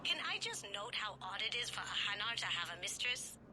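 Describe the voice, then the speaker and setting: A woman's voice: mid-range voice, big pitch swings, a little fast, close to an everyday voice. Sardonic, guarded woman played by an actress. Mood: wry, wary. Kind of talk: video game voice acting. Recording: clear ambient noise behind.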